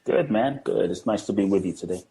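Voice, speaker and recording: A man from Ghana who grew up in London, a guest on a podcast, telling his life story in a reflective, measured way with many pauses and fillers. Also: fairly low pitch, expressive, warm tone, breathy edge. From Ghana, raised in London. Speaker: guest, spiritual teacher.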